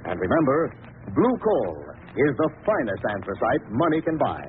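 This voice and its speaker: A man's voice: medium pitch, sing-song, normal speed. A man doing emphatic, declamatory scripted announcing and narration in a 1940s radio style. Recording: tinny noisy old radio broadcast.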